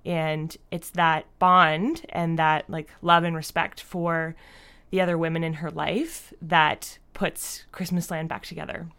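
A woman answering interview questions as a guest in a casual, thoughtful and halting way, often saying "like". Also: low-pitched, little pitch movement, fairly bright tone, smooth. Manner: thoughtful, halting, frequent "like" as a verbal habit. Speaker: podcast creator and writer, interview guest.

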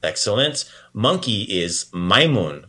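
A man's voice: lowish voice, very animated, slow, pushed and bright. A man hosting a podcast language lesson in a casual, playful and encouraging way. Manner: encouraging, praising the learner often.

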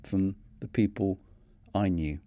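Podcast host in his fifties, Manchester accent, low mellow voice, dry close sound, earnest reading. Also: dry recording. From North of England. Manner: measured, earnest storytelling. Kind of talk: scripted reflective narration.

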